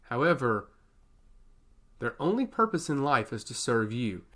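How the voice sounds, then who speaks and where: A man's voice: mid-range voice, big pitch swings, a little slow, fairly bright tone. A man voicing a coldly pragmatic, dutiful commander in a fantasy audiobook, solemn, formal and deferential to his lord. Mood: firm.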